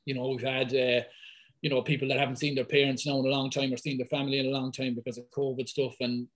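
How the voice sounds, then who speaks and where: A man in his thirties with a thick Irish accent, talking as a guest in a casual podcast conversation, lively and full of fillers. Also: medium pitch. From west of Ireland. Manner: fast, lively talker, many fillers. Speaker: guest, football fitness coach.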